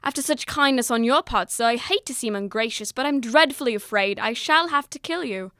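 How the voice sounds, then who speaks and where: A menacing butler played by a woman, coldly courteous in a fairly high voice. The speech is formal and mock-deferential, audio drama style, close and dry. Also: lively intonation, brisk, pushed and bright, no echo. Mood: menacing, smug. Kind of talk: amateur audio drama acting.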